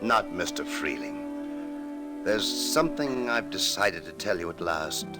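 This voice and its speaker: Slow, deeply emotional father. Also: wildly swooping pitch, full character voice. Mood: earnest. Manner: halting, earnest. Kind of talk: 1940s radio melodrama acting. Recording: vintage radio drama with loud music.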